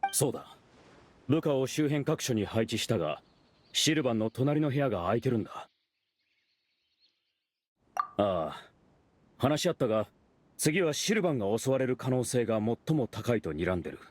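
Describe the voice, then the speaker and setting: A man's voice: mid-range voice, big pitch swings, brisk, darkish tone, no echo. A man playing a businesslike, authoritative male officer in command, in anime game acting. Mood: serious, planning. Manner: authoritative, orderly explanations.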